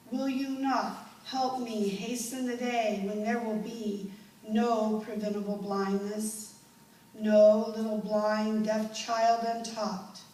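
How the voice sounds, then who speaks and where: A woman playing an earnest deaf-blind woman, making a deliberate, solemn theatrical appeal for others in halting phrases. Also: a little high, darkish tone. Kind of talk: theatrical speech to an audience. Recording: echoey room, distant mic, faint noise.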